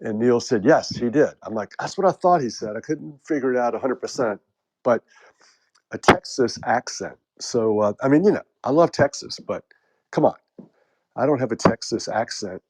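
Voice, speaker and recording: American man, casual host, rambling, joking, mid-pitched voice. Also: lively intonation, normal speed, husky, rough recording. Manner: rambling and joking, with many fillers. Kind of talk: casual group chat.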